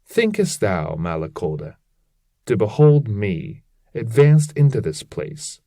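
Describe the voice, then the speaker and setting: A man's voice: fairly low pitch, very animated, slow pace, warm tone, no echo, clean studio sound, heavily acted. A man voicing a stern, fearless poet guide in an epic poem reading, with a commanding, declamatory delivery.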